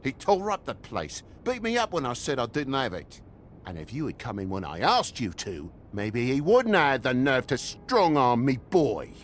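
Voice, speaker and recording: An actor with a British accent voicing an aggrieved, slangy middle-aged toad, a shifty dad in a video game, whining and indignant. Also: male voice, medium pitch, big pitch swings, normal speed, slight background noise, close to an everyday voice. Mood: bitter, aggrieved. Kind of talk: dramatic video game dialogue.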